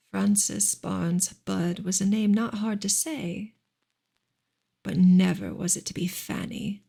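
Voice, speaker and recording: A woman softly reading a novel in ASMR style, with a breathy voice that often slips toward a whisper and a gentle, measured delivery. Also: medium pitch. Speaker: audiobook-style reader, ASMR host.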